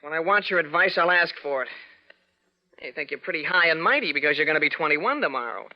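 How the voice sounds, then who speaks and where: A man acts out a bratty young snoop from a 1940s radio drama. His voice sits on the high side and sneers with cocky self-importance. The old recording is muffled. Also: animated, fast, natural voice. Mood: sneering. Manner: cocky, taunting. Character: bratty, sneering youth, amateur snoop still under twenty-one.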